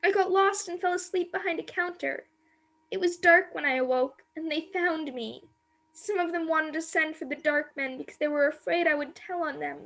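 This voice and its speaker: A woman plays an innocent young maid held captive in a radio drama. The voice is extremely high and girlish. The delivery is timid, sorrowful and plaintive, captured over a video call. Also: steady tone, moderate pace, vocal fry, a bit bright, full character voice. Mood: timid, fearful. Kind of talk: radio play acting.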